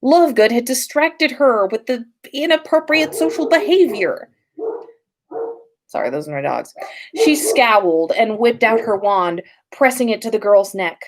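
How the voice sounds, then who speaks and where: A woman reads a story aloud as a podcast host. Her delivery is slow and expressive, with pitch that moves around a great deal. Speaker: podcast host reading fan fiction.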